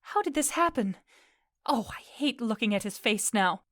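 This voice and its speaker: A woman's voice: fairly high pitch, lively intonation, normal speed, fairly bright tone, no room sound, clean studio sound. An actress playing a tender yet commanding fairy queen in an audio drama of modernized Shakespeare. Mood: bewildered.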